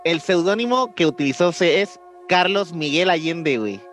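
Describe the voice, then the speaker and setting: Mexican male co-host, relaxed chatty explainer, slightly high pitch. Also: moderate pace, soft background music. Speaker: podcast co-host. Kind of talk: casual chat.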